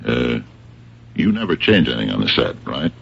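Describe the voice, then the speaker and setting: An actor playing a dogged, plain-spoken police captain who questions in a halting, probing way, in a radio drama. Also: male voice, very deep voice, very animated, vocal fry, slight background noise, heavily acted. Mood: methodical. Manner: halting, probing, deceptively bumbling. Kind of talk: 1970s-80s radio drama acting. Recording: dull lo-fi old radio recording.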